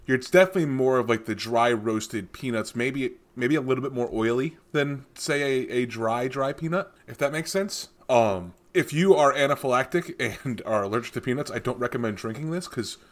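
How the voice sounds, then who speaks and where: Casting: casual guy co-hosting a beer show, chatty and meandering, medium pitch. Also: lively intonation, moderate pace, hardly any pauses. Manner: rambling, tangential, with many fillers and restarts. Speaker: podcast co-host reviewing beer. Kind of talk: casual chat.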